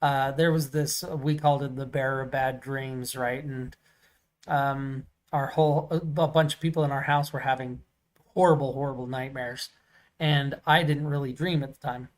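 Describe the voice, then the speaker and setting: A man with a loud, carrying voice, co-hosting and telling stories in a rambling casual chat with many fillers, over a video call. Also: medium pitch, fairly even intonation, moderate pace. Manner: loud voice that carries. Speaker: podcast co-host.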